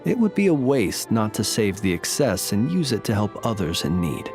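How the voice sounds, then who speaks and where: A man voicing a courteous, modest gentleman in a video game, speaking in a measured, polite way with wry understatement. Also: lowish voice, sing-song, soft background music. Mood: thoughtful. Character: courteous, gentle male lantern bearer, modest and wryly humorous. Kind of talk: video game character dialogue, storytelling.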